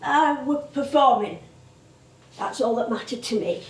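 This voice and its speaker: Old northern english variety comedienne, chatty n nostalgic, lively up and down voice, echoey stage recording. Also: female voice, a little high, unhurried. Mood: proud, reminiscing. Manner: rambling, exclamatory storytelling. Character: veteran comedienne, chatty, nostalgic older music-hall woman. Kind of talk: theatrical stage monologue and dialogue. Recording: echoey theatre stage from far off.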